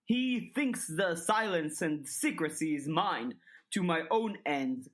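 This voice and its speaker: A woman voicing a smooth, calculating older male headmaster in an audiobook, speaking in measured, formal, articulate sentences with polite manipulation. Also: on the low side, pitched above the performer's own voice. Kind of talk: dramatized audiobook dialogue.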